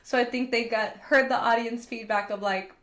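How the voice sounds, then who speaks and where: Congested, chatty woman with a higher voice. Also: fairly even intonation, normal speed, a bit bright. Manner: chatty, heavy use of "like", gossipy retelling. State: congested from a cold. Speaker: podcast host. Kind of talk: casual pop culture recap.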